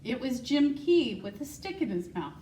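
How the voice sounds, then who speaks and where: A woman reading a children's picture book aloud with measured, deliberate phrasing. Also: a little high, big pitch swings, mellow. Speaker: school secretary, guest storybook reader. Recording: roomy, distant mic, faint noise.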